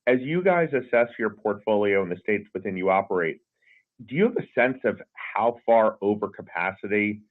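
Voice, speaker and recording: A male analyst speaks on a conference call, posing questions in unscripted business talk. His voice sits on the low side. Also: expressive, moderate pace, phone-quality sound. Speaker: financial analyst asking questions.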